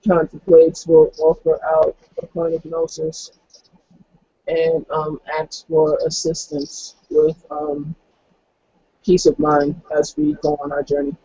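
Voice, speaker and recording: A man's voice: fairly high pitch, slow pace, warm tone, slight background noise. A man playing a tabletop role-playing game, casually and somewhat hesitantly describing his character's actions on a lo-fi microphone. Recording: close, dull lo-fi microphone.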